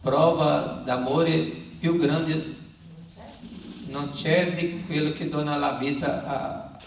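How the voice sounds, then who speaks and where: A man's voice: fairly high pitch, fairly even intonation, slow. A man, a priest interpreting live for a congregation, hesitant and searching for words, in a church. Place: large reverberant church. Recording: echoey church, distant mic, faint noise.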